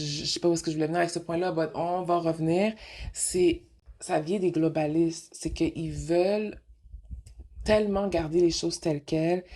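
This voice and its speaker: A woman's voice: deep voice, darkish tone, breathy edge. A woman with a Québécois accent hosting a casual radio monologue, rambling and frank, slipping into English mid-sentence and asking rhetorical questions. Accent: Québécois French. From Quebec. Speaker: radio show host.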